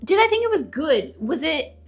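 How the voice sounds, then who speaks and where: A woman co-host in casual, opinionated conversation with a friend, expressive and stretching words for emphasis. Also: high voice, normal speed, gravelly, faint music behind. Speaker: co-host of a bookish video show.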